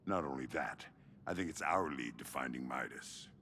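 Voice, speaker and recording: An actor playing a gruff, terse middle-aged special agent with a deep, gravelly voice in a video game cutscene. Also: male voice, animated, normal speed, heavily acted. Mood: briefing, focused. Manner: terse, commanding. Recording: loud hiss and rain noise.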